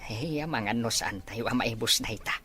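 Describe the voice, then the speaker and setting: A woman voices an easygoing older husband and father in an audio drama. The voice is extremely low and rough-edged, with soft music underneath. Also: moderate pace, exaggerated voice. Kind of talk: Ilocano audio drama dialogue.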